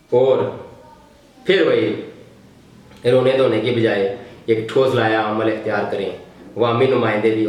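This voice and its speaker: Heavily echoing room, Pakistani man, medium pitch, vlog-style political commentary, unscripted, halting phrasing. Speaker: news commentary vlogger. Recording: very echoey, reverberant room.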